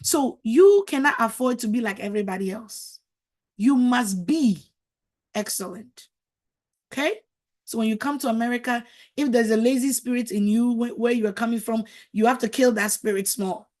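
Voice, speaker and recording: A woman's voice: fairly high pitch, normal speed, darkish tone. A middle-aged woman whose first language is French, giving a motivational talk as a marriage coach, emphatic and preachy, repeating words for stress.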